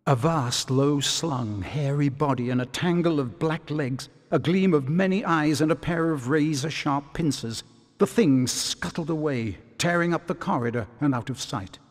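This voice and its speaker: A man narrating a video game story like a storybook, in a dramatic, deliberate manner. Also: fairly high pitch, long reverb tail.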